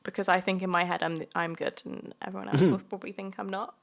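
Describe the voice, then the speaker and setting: A woman's voice: lowish voice, steady tone, fast talker, vocal fry. A young woman with a southern English accent, co-hosting a casual sports podcast in a playful, joking way. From South of England. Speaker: podcast co-host.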